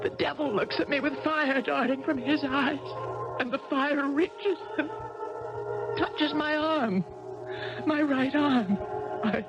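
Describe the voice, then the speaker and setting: A woman's voice: sits high, slow pace, long pauses, over music. An actress in a 1970s radio drama playing a troubled woman tennis champion, hesitant and anguished. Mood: dazed, haunted. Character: troubled, distraught female tennis champion, torn by love. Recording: dull, noisy vintage radio broadcast.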